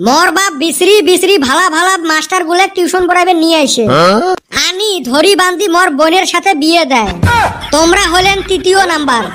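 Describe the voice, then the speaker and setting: Very high-pitched cheeky cartoon schoolboy. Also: female voice, a little fast, a bit bright, faint music behind. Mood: sly teasing. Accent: northern Bengali. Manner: sly, mock-innocent. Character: cheeky scheming schoolboy, master of tall tales. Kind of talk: comic cartoon dialogue. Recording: noisy track with clicks.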